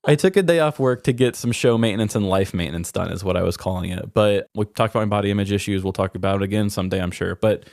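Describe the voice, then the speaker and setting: A man's voice: lowish voice, fast talker, gravelly, hardly any pauses, a little mellow, no room sound, clean studio sound. A man hosting a podcast, talking in a candid, reflective and self-deprecating way. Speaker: podcast host. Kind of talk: candid personal conversation.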